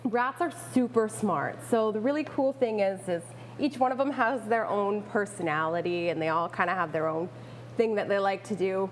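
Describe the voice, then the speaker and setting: A woman's voice: mid-range voice, normal speed, a little hiss or hum, rough recording. A woman chatting casually as a guest expert in an interview, playful, enthusiastic and informal. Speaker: guest expert, pet rat owner and rescuer.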